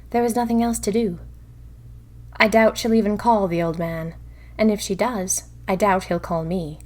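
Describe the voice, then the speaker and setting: A woman's voice: mid-range voice, lightly acted. A woman playing a spirited, inquisitive young diarist of the 1920s, narrating theatrically in a fiction podcast. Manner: theatrical, expressive storytelling. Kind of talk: first-person diary narration in a fiction podcast.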